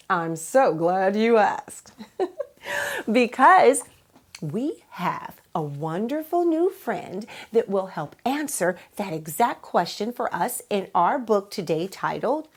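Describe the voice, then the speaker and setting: A woman's voice: medium pitch, slightly rough. A woman hosting a children's read-aloud, explaining in a teacherly way and answering her own questions. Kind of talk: animated children's storytime presenting.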